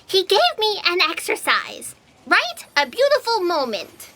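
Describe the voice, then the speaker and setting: A woman's voice: very high voice, big pitch swings, unhurried, bright, forceful tone, exaggerated voice. A woman playing an anxious, guilt-ridden young intern in a comic audio drama, earnest, plaintive and melodramatic. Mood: confessional.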